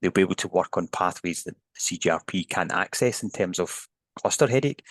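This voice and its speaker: A man's voice: sits low, expressive, fairly quick, a bit bright, no echo. A Scottish man, a neuroscientist guest, explaining research on a podcast in a thoughtful, hedging way with frequent restarts. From Scotland. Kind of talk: podcast interview.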